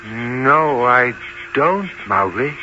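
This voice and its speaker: An actor playing a weary, gentle middle-aged village father, earnest and plain-spoken, in old-time radio drama style. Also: medium pitch, lively intonation, extremely slow, full character voice. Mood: bewildered. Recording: muffled, noisy old radio drama recording.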